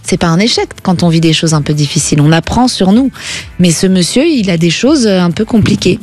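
A woman's voice: on the low side, lively intonation, fairly quick. Reassuring middle-aged woman radio psychic. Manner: reassuring, gently advising, frequent tag "hein". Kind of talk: call-in radio consultation.

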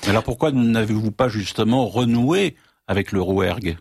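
Lowish male discussion moderator. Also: normal speed, vocal fry, a little mellow, slightly rough, no echo, studio quality. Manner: questioning, hesitant with fillers. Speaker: host, moderator.

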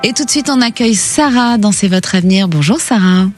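A woman's voice: medium pitch, expressive, fairly quick, over music. A woman hosting a radio phone-in, giving callers intuitive advice in a conversational, reassuring and encouraging way. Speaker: radio phone-in host giving psychic readings.

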